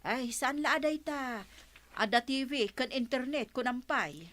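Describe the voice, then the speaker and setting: A woman's voice: high voice, animated, a little slow, a crowd in the background. A woman playing an assertive, bargaining village woman with an Ilocano accent and a pushy, questioning manner in an audio drama.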